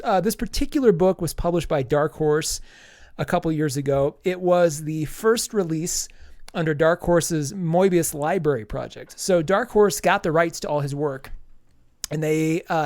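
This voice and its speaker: A man with a fairly high voice talks through a comic review on a podcast, his nose stuffy from allergies. He rambles, restarting sentences and filling gaps with ums. The sound is dry and close. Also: darkish tone, dry recording. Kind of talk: casual review chat.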